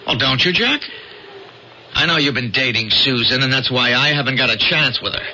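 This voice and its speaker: Forceful, excitable boastful astronomer. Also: male voice, mid-range voice, normal speed. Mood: bitter. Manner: sardonic, stammering when rattled. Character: boastful, jealous young astronomer, jittery under his bluster. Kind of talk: old-time radio drama acting. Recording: dull, noisy old radio recording.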